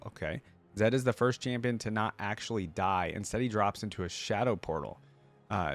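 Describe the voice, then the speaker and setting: A man's voice: lowish voice, dry recording. A man hosting a reaction video, giving informal, exclamatory commentary as he thinks aloud.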